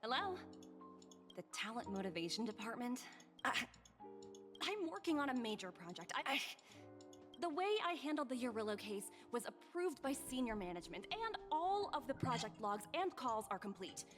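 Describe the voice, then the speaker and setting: A woman voicing an eager, polite young career woman in a video game, expressive and earnest. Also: on the high side, normal speed, faint music behind. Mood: flustered, pleading.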